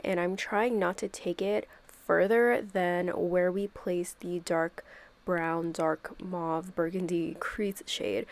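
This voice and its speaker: A woman's voice: lowish voice, darkish tone. A young woman with a stuffy, congested voice from a cold, chatting through a makeup tutorial voice-over and filling it with "like" and "kind of.". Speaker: makeup YouTuber.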